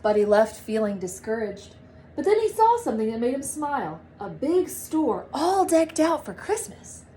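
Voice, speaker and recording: A woman reading a story aloud to children like a teacher, with animated, drawn-out storybook phrasing. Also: a little high, slight background noise, low-quality recording. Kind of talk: expressive read-aloud storytelling.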